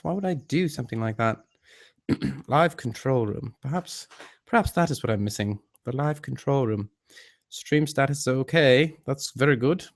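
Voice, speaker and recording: Theatrical male livestreamer with put-on English accent. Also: medium pitch. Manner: self-deprecating, theatrical, mock-formal. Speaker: livestream host. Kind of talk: rambling live chat to viewers.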